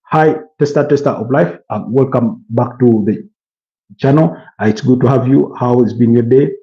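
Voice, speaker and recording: A man's voice: medium pitch, moderate pace, warm tone, highs cut off. A man from Uganda hosting a football channel live stream, chatting and answering viewer comments with many fillers and restarts.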